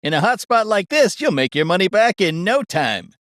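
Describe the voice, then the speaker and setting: An actor playing a slick, pushy real estate hustler in a video game, with cajoling sales patter. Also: male voice, high voice, big pitch swings, moderate pace, a bit bright, no echo, clean studio sound. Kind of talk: scripted video game dialogue.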